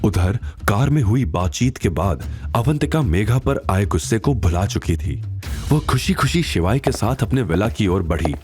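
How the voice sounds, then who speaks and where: A man narrating an audio drama in a scripted, dramatic style with expressive, suspenseful delivery. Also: fairly low pitch, moderate pace, soft background music.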